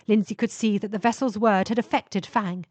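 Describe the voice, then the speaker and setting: A woman's voice: mid-range voice, fairly even intonation, moderate pace, a little mellow, no room sound. A woman reading scripted narration for an audio adventure story.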